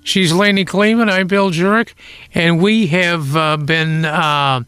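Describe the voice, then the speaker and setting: A male radio host chats casually. His voice is smooth and resonant, recorded dry and close. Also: high voice, fairly even intonation, slow pace, bright, forceful tone, no room sound.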